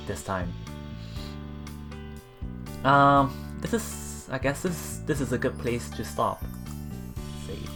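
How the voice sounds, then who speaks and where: Casual game streamer reading and reacting aloud, mid-range voice, halting delivery, faint music behind. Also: mid-range voice. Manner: halting, stumbles and repeats words. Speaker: let's play host.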